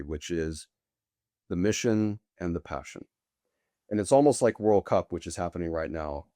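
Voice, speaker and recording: A middle-aged man, bilingual with Portuguese, answering interview questions as a guest in long, deliberate, winding sentences. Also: sits low, warm tone, dry recording, clean studio sound. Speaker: guest, sales executive.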